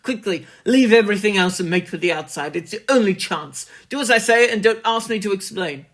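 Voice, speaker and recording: A reader voicing a desperate man trapped underground, pleading and shouting urgently. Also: mid-range voice, lively intonation, a little fast, pitched above the performer's own voice. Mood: frantic pleading. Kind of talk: dramatic horror story reading.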